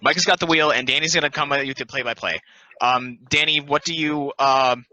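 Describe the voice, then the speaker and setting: A man playing an excitable, earnest young videographer who chases games, in an amateur play reading. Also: mid-range voice, fairly quick. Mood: eager, hurried. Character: excitable young male storm-chasing game videographer. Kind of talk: amateur play table read. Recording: dry, dull lo-fi audio.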